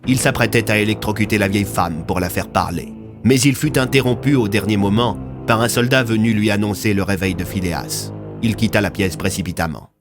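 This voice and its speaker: A man's voice: fairly low pitch, a little fast, music in the background. A man narrating a scripted audio fiction story.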